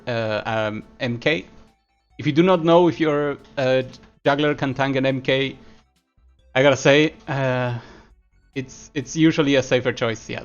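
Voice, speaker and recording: A man from Argentina commentates a live esports match at a middle pitch. He talks in a loose, chatty way and turns from analysis to chat messages. The stream audio is a little muffled, and soft music plays underneath. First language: Spanish. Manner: chatty, laughs often, answers chat between analysis. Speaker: esports caster.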